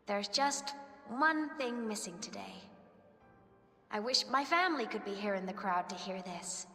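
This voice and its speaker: A woman's voice: mid-range voice, animated, unhurried, a bit bright. A woman playing an earnest, wistful young academy student and musician in a video game, speaking in a heartfelt way. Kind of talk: emotive video game story acting. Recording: long hall reverb, music behind.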